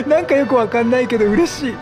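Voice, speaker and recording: Let's play host: middle-aged male, excitable, casual and very expressive, game music underneath. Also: very high-pitched, fairly quick. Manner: chatty, reactive, repeats phrases. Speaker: gaming streamer.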